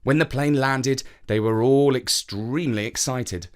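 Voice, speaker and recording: A man narrating a children's story in an expressive storytelling manner. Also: mid-range voice, measured pace.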